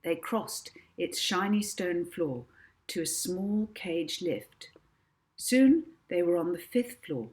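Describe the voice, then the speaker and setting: A woman author reading her children's book aloud as an expressive, measured and emphatic storyteller. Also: on the low side. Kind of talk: expressive children's audiobook narration.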